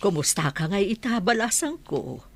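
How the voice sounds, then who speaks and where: A woman's voice: medium pitch, very animated, moderate pace. A woman playing a plain-spoken, gossipy older village mother in an Ilocano radio drama. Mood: motherly, disapproving.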